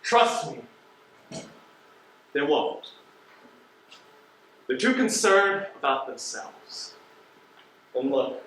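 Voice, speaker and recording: An actor playing a pressuring, sarcastic postal inspector on stage, questioning a suspect in a deliberate, insinuating manner. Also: male voice, a little high, slight background noise. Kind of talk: stage play acting. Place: theater stage. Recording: very echoey stage, distant microphone.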